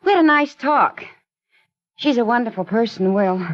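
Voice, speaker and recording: A woman playing a kindly, earnest small-town newspaper assistant with a fairly high, very lively voice. She speaks in a coaxing way. The sound is dull and lo-fi, like an old broadcast. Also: normal speed, natural voice. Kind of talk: 1940s radio acting.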